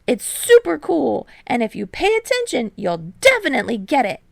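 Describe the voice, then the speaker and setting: A woman voicing a loud, excitable, boastful teenage boy athlete in an audio story. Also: high voice, normal speed, creaky, no echo, pitched above the performer's own voice, full character voice. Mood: excited, urging. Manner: exuberant, shouting, eager.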